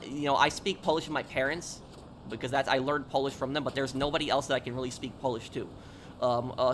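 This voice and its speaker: A man with a mid-pitched voice talks casually on a gaming livestream over clear vehicle noise. He explains himself emphatically, often halting and circling back to repeat his point. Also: a bit bright. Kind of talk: casual gaming stream chat, answering viewers.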